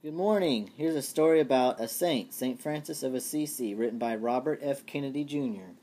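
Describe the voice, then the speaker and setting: A storyteller with a mid-range voice reads to all ages from a children's book. The delivery is playful and expressive, as if speaking straight to young listeners. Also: mid-range voice, fairly quick. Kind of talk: children's story read aloud.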